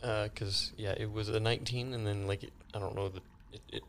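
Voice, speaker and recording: A man playing a tabletop game, chatting casually and haltingly as he thinks aloud. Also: fairly low pitch, flat delivery, slight background noise.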